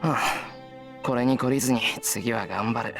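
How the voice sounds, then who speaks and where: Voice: medium pitch, quick pace, vocal fry, natural voice. An actor playing an earnest, easygoing teenage schoolboy in a Japanese visual novel, casual and drowsy. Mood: sleepy, appreciative. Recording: game music behind the voice.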